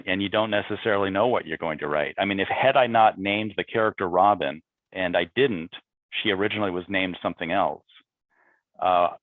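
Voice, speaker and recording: A man speaking as a playwright in a talkback, reflecting on his process in halting, thoughtful phrases. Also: sits low, no echo. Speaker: playwright on a panel. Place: remote video call. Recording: phone-like narrow-band video call audio.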